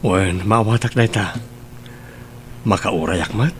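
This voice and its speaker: A man's voice: fairly low pitch, a little slow, slight background noise, close to an everyday voice. A man acting an earnest young suitor in love in an Ilocano audio drama.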